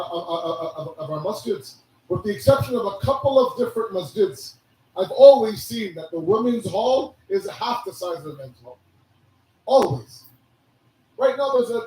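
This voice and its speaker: A hoarse man giving a sermon, preaching with animated rhetorical questions. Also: high-pitched, slight background noise. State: hoarse, losing his voice. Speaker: religious speaker. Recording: echoey hall from a distance.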